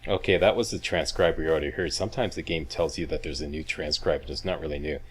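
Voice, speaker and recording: A man's voice: very deep voice, steady tone, quick pace, breathy edge. A man doing casual, chatty video game commentary, guiding viewers step by step as a walkthrough host.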